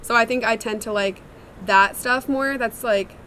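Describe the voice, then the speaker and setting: Young woman, songwriter interviewee, casual chat, bright voice, faint room ambience. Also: fairly high pitch, measured pace, a little hiss or hum. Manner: chatty and reflective, many "like" fillers. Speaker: songwriter and singer in a sister duo.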